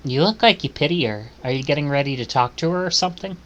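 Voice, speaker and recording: A man's voice: on the high side, fast, a bit bright, highs cut off. A man streaming a visual novel, reading it aloud in English with dramatic narration.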